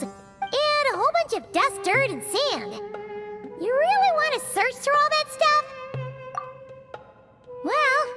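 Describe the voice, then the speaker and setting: A woman voicing a tiny, childlike, cheeky fairy companion in a video game, squeaky and perky with exaggerated delivery. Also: exaggerated voice. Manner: perky, exaggerated, refers to self in third person.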